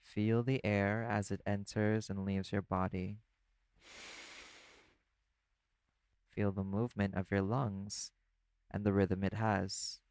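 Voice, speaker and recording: A man playing a laid-back, caring vampire in an audio roleplay, talking the listener toward sleep in a casual, gently instructive way. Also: fairly low pitch, level tone, unhurried, clean tone, no room sound. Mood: calm, soothing. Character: laid-back male vampire companion, teasing yet caring.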